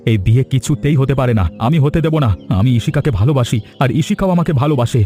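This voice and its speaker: A man's voice: medium pitch, fast, warm tone, faint music behind, close to an everyday voice. A man playing a heartbroken young lover in a cartoon story, emotional, pleading and melodramatic. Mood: determined. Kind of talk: Bengali cartoon story acting.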